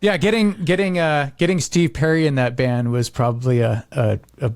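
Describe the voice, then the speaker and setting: A man's voice: medium pitch, fairly even intonation, moderate pace, a little mellow, no room sound, studio quality. A man in casual podcast conversation, telling stories informally and acting out quotes as he goes. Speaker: podcast host.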